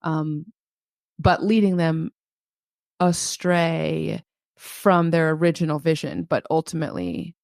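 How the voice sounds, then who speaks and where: A woman with a notably low voice and a gravelly fry, speaking as a podcast guest. She muses out loud, circling her points with plenty of filler words. The sound is dry and close. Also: slow, no echo. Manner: reflective, thinks aloud with many fillers. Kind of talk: casual podcast discussion.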